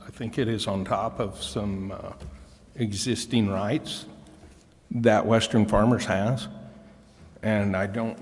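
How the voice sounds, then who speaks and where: Deliberate low-voiced man, echoey hall. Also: steady tone. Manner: halting, deliberate phrasing. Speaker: city council member. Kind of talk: formal meeting debate. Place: council chamber.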